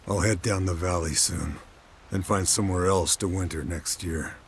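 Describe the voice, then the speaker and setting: An actor playing a weary, resigned veteran monster hunter in a video game, terse and downbeat. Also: male voice, deep voice, steady tone, moderate pace, slight background noise. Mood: resigned, grieving. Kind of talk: dramatic video game voice acting.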